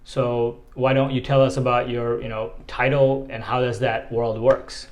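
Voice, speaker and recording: A man's voice: medium pitch, little pitch movement, measured pace, dull audio. A man in his late twenties hosting a casual interview, upbeat and informal. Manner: upbeat, informal greetings, short backchannels. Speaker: host.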